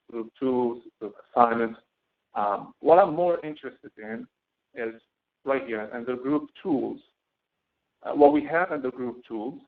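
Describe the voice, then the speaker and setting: Male course instructor for screen-share tutorial; hesitant, word-repeating delivery, fry-laden voice, phone-like narrowband sound. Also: mid-range voice. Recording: thin, phone-like narrowband audio.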